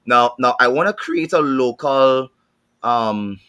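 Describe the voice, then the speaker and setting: Bright-voiced Trinidadian man, searching for words. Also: mid-range voice, animated, slow pace, clear, resonant tone. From Trinidad and Tobago. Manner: thoughtful, repeats words while searching for them. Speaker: panel guest. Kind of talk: panel discussion.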